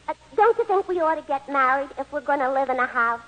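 Very shrill, ditzy gangster's girlfriend. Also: lively intonation, fast talker, a bit bright, poor audio. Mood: pleading. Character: naive, dim but sweet gangster's girlfriend. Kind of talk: 1940s radio comedy acting. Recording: old radio broadcast recording.

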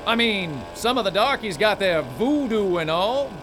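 A man's voice: sits high, big pitch swings, measured pace, background noise. A man playing a folksy, nosy small-town sheriff with a Southern US drawl in a radio drama. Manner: folksy drawl, outwardly genial.

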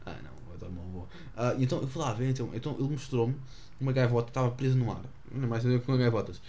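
Teen vlogger from the Azores, filler-heavy storytelling, mid pitch, faint noise behind. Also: male voice, racing, mellow. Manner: rambling, filler-heavy, self-mocking. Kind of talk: casual storytelling to camera.

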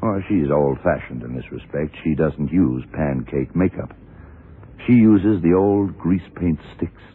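An actor playing a blunt, self-assured police detective in a 1970s radio drama, questioning deliberately and methodically. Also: male voice, very deep voice, lively intonation, slightly rough, narrow, tinny audio, heavily acted. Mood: accusing, assured. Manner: deliberate, probing, punctuated with yeah and right. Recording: noisy, band-limited old radio recording.

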